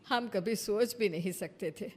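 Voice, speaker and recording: A woman's voice: medium pitch, a little slow, close to an everyday voice. A woman voicing an earnest village housewife, full of wonder at her independence, in a cartoon moral story. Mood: amazed, hopeful.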